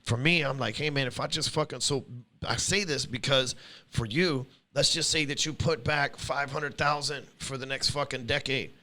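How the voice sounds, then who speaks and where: A man's voice: medium pitch, moderate pace, bright, forceful tone. A forty-something man hosting a casual podcast chat, blunt and heavy on profanity. He lectures in a mid-range voice, hammering his points home. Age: 40s. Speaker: podcast host.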